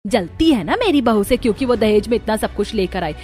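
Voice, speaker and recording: A spiteful older mother-in-law acted by a woman in a cartoon drama. Her voice is fairly high and scolding, quick to anger, with music behind it. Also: expressive, a little mellow, natural voice. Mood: furious.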